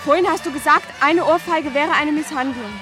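A woman's voice: high voice, a little fast, lightly acted. An actress playing a naive, stubborn teenage girl with a questioning manner in a German radio play. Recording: music behind the voice.

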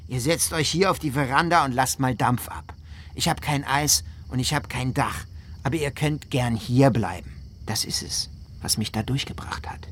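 Schauspieler als männlicher Flutüberlebender, leichtes Hintergrundrauschen. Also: male voice, medium pitch, normal speed, a bit bright, a little hiss or hum, close to an everyday voice. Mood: recounting, heartfelt. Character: male flood survivor, husband recounting hardship with feeling. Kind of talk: dramatized documentary monologue in radio drama.

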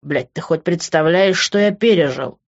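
A man's voice: a little high, level tone, moderate pace, highs cut off, natural voice. A man playing an emotional, coarse, hot-headed young man in love, in an audiobook. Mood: indignant.

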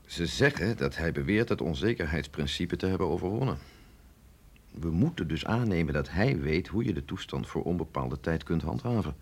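A gravelly, authoritative older scientist. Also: male voice, deep voice, animated, heavily acted. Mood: grave, explaining. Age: middle-aged. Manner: measured, explanatory, insistent. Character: sceptical, authoritative male scientist briefing an agent, no longer young. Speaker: scientist doctor. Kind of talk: radio drama acting.

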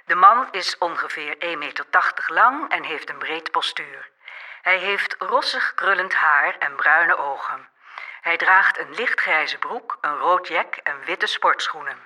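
An actress playing a formal police dispatcher on a scanner radio in a Dutch radio play, measured, with a Netherlandic accent. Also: female voice, on the low side, pushed and bright, heavily acted. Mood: formal, factual. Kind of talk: formal scripted announcement.

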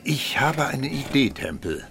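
Animated, commanding police chief, male. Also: mid-range voice, unhurried, gravelly, noisy background. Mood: insistent. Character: authoritative, impatient senior police official. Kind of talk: German radio drama acting.

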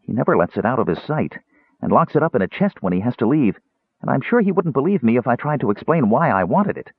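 A man's voice: medium pitch, big pitch swings, fast talker, slightly rough, dry recording, pitched above the performer's own voice. A man voicing an eager, naive, childlike Kender in a fantasy audiobook, earnest and chatty. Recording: narrow tinny radio-like band.

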